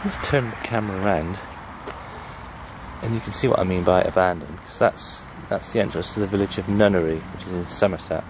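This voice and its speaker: Guy with a really deep voice, chatting as he films a walk, noisy outdoor recording. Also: a little fast. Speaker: amateur film narrator. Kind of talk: casual unscripted walking commentary. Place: outdoors on a country path. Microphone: handheld camera microphone. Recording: noisy outdoor camcorder sound.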